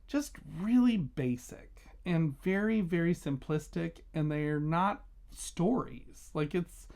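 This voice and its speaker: A man's voice: sits high, a little mellow. A man hosting a casual book review vlog, talking conversationally and emphatically, stressing and repeating key words.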